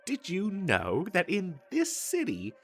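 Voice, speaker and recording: A man's voice: sits high, huge pitch swings, slow pace, a bit bright, faint music behind, full character voice. A man voicing an eager, boastful wizard salesman in a comedic fantasy audio roleplay, with theatrical emphasis and a stammering, hard-selling manner. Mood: pitching, enthusiastic. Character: eager, boastful male wizard salesman hawking magical wares. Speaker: street vendor character in an audio roleplay.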